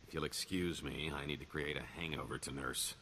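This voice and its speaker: An actor playing a roguish, glib smuggler and con artist in a video game, with a wry, theatrical delivery. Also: male voice, extremely low pitch, steady tone, fairly quick, sharp tone, a little hiss or hum. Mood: bitter, wistful. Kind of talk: video game character dialogue.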